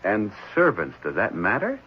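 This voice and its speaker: A low-voiced, concerned man, lively intonation. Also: normal speed. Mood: gentle. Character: concerned, gently probing adult man, prospective foster father. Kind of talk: 1970s radio drama acting. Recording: muffled, noisy vintage radio recording.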